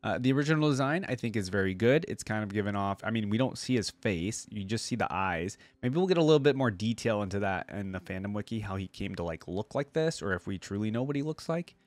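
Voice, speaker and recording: A man's voice: fairly low pitch, lively intonation, fast, few pauses, no room sound. A man, around his thirties, giving casual, chatty reaction commentary and thinking aloud informally into a close desk microphone. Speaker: reaction video creator. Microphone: close desk microphone with headset.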